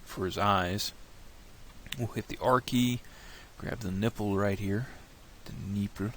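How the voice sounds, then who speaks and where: A man with a cold and a slightly nasal voice, walking through a software tutorial in a relaxed, rambling way, thinking aloud at his computer. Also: fairly low pitch, unhurried, slight background noise. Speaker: tutorial creator.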